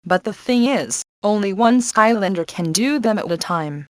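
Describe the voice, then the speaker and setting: A woman's voice: medium pitch, animated, normal speed, dry recording, close to an everyday voice. A confident young adventurer woman played by an actress guides the mission in a cartoon game. She is sassy, wry and encouraging, recorded close and dry with no room sound. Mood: earnest, encouraging. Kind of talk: video game character acting.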